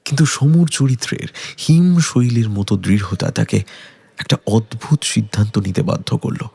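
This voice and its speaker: A man's voice: fairly low pitch, expressive, normal speed, creaky, mellow, slightly rough. A man giving dramatic scripted narration of a detective story.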